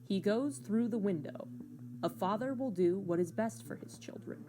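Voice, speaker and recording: A woman playing the ominous narrator of an amateur comic serial, measured and portentous in scripted narration. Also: mid-range voice, expressive, noisy background, natural voice.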